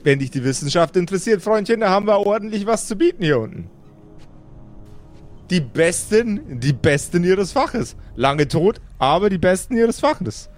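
A man voicing a boastful, chummy undead necromancer in a fantasy tabletop audio game, slangy, stammering and repeating himself. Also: high voice. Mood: smug, chummy. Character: boastful, chummy undead necromancer boss bragging about gadgets.

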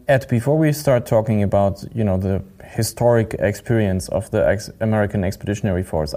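Male podcast host, lowish mellow voice, friendly and chatty, filler-heavy questions. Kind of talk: podcast interview hosting.

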